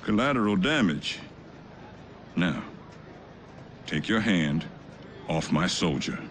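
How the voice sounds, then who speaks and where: A man's voice: low-pitched, lively intonation, slow pace, a bit bright, a little hiss or hum, full character voice. An actor playing a ruthless male army colonel who gives cold, commanding orders. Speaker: military officer.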